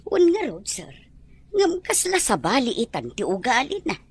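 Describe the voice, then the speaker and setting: Actress as older female housekeeper, gossipy yet respectful; raspy, highly animated, radio drama. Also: moderate pace, exaggerated voice. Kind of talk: Ilocano audio drama acting.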